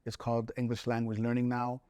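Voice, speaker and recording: Even-toned man, podcast guest. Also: mid-range voice, fairly quick, dry recording. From Somali-born, raised in Italy and Canada. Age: 40s. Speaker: podcast guest, spoken-word poet. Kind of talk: reflective interview talk.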